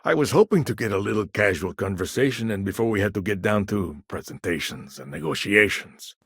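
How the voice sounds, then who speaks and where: A man acting a shrewd, self-assured businessman in a video game, with a wry, smooth-talking manner. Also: lowish voice, expressive, brisk, a bit bright, no room sound, studio quality, exaggerated voice. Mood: wry, teasing.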